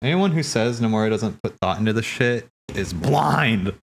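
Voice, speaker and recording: A man hosting a livestream, commenting casually on game cutscenes in an excitable, rambling, slangy way. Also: on the low side, moderate pace, vocal fry. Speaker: livestream host. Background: game soundtrack music.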